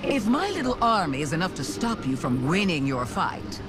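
A woman voicing a sly, taunting alien witch queen in a video game, with grand, drawn-out, mocking delivery. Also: lowish voice, sing-song. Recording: loud music behind the voice.

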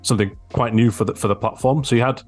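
A man's voice: medium pitch, fairly even intonation, fast, a little mellow, faint music behind. A young man hosting a podcast, chatty and enthusiastic, talking into a large microphone over a video call. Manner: chatty, enthusiastic, asks leading questions. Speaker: podcast host. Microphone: large studio microphone on a video call.

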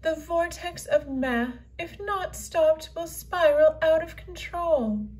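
A woman's voice: very high-pitched, slow, darkish tone, far from the mic, pitched above the performer's own voice. A woman voicing a vain and elegant female unicorn, speaking grandly in a children's comic read-aloud. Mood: grave. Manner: grand, formal storybook delivery.

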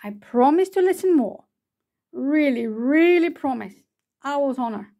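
A woman voicing an excitable, chatty know-it-all owl in a children's storybook read-aloud. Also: high-pitched, a little slow. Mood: earnest. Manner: excitable, gushing, boastful. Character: eager, chatty know-it-all owl, bossy but helpful.

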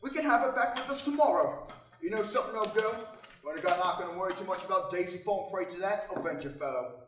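Chatty, high-voiced excitable husband. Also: male voice, quick pace, reverberant, distant mic, slight background noise. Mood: delighted. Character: cheerful, chatty working-class husband of the landlady. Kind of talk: old-time radio drama acting.

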